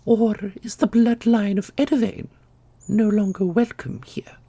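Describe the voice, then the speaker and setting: A woman's voice: mid-range voice, steady tone, a little slow, warm tone, dry recording. A woman playing an ancient, secretive divine custodian in a fantasy audio roleplay, knowing, theatrical and condescending. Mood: commanding.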